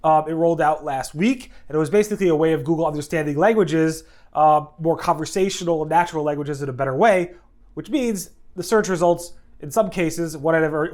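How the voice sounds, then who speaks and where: A congested man with a head cold, hosting a casual video news recap in a rambling way, often correcting himself and adding quick asides. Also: on the high side, a little mellow.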